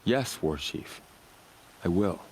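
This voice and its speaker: A very deep-voiced loyal warrior. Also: male voice, animated, takes it slowly, darkish tone, slight background noise, exaggerated voice, rough recording. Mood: eager. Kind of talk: video game dialogue acting.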